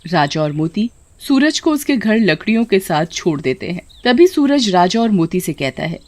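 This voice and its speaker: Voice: mid-range voice, big pitch swings, moderate pace, no echo. A narrator reading a scripted children's story in a dramatic storytelling manner.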